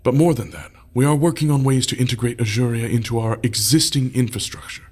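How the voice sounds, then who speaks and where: A man's voice: mid-range voice, fairly even intonation, brisk, a little mellow, breathy edge, lightly acted. A man playing a plain-spoken fleet engineer. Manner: plain, unrehearsed explanation. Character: male fleet designer presenting ship plans, measured. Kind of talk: audio drama acting.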